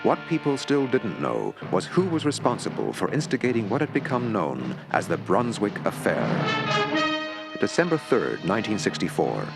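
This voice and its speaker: A man narrating a mock documentary in a measured, formal, newsreel-like way. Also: on the low side, sing-song, over loud music. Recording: narration over a clear film score.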